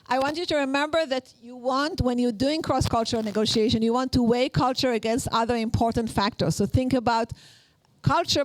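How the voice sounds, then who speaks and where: A woman's voice: on the high side, no echo, rough recording. A woman from Israel with an Israeli accent, giving a live workshop lecture on negotiation in an earnest, explanatory way with many fillers and restarts.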